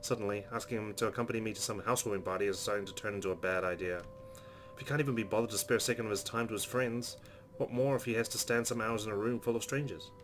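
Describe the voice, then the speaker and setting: Fast-talking, low-voiced male narrator. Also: steady tone, noisy background. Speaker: let's player reading a visual novel aloud. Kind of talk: dramatic reading of first-person narration. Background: soft game music.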